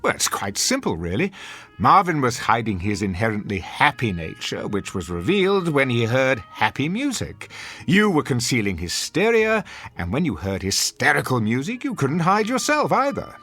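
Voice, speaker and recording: Male radio drama role: cruel, smug husband, bright cutting tone, hugely animated pitch, sardonic and grand. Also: normal speed, faint music behind, heavily acted. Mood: smug, lecturing. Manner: pompous, theatrical, sardonic. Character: sadistic, smug, pompous husband who bullies his wife. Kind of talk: modern radio drama acting.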